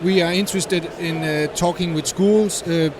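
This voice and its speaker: A man giving explanatory interview answers at a busy conference, halting and searching for words as a startup representative. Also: sits high, a crowd in the background. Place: busy tech conference venue. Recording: noisy event hall, steady din.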